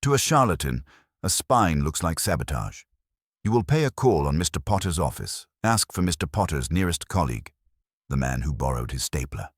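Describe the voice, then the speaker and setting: A man performs an imperious genius detective in a mystery audiobook. The voice is extremely low and husky, rising and falling expressively. He talks in formal, measured pronouncements with a pompous air. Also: sing-song, dry recording, studio quality, full character voice. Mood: dry, superior. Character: imperious, cerebral male detective who speaks in pronouncements.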